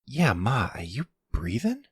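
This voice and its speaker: Casting: male actor as a defiant young hothead in a mafia audio drama, whiny, pitched up, swooping intonation. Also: huge pitch swings, a little slow, fairly bright tone, slightly rough, no echo, studio quality, full character voice. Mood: panicked. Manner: whiny, defiant, stammering when rattled. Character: hot-headed, panicky young adult son in mob trouble. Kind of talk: audio roleplay acting.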